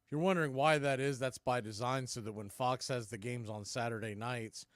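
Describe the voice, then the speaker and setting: An American man doing live baseball play-by-play in clipped, punchy calls with pauses, mixed with casual fan chat. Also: medium pitch, fairly even intonation, dry recording, clean studio sound.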